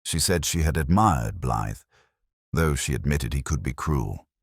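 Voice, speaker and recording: A very deep, solemn detective played by a male narrator recounts a mystery in measured, literary phrases. His pitch moves expressively within that low register, and the sound is close and dry. He comes across as brooding and cerebral. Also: expressive, creaky, husky, no room sound, full character voice. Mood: pensive, probing. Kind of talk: scripted first-person mystery narration.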